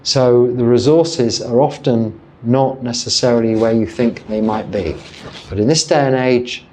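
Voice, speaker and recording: An English man in his fifties, a Buddhist monk, speaking calmly and reflectively as he answers a viewer's question, with pauses and self-corrections. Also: medium pitch. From England.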